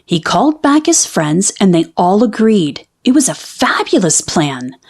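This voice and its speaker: A woman reading a children's picture book aloud in an expressive, narrated storytelling style. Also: mid-range voice, a little slow, husky.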